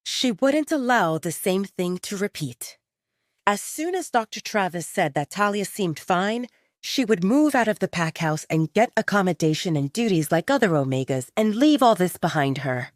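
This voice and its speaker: A woman's voice: medium pitch, sing-song, moderate pace, no echo, studio quality. A woman narrating a scripted romance story for an audio drama.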